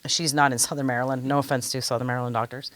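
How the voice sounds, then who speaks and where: A woman hosting a music interview, telling stories in a chatty, animated way. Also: rumbling low, very fast, no echo. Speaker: podcast host and singer. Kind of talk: casual interview conversation.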